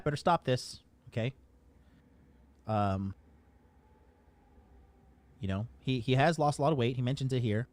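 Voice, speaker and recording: A man giving casual commentary in a blunt, profane, lecturing way. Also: mid-range voice.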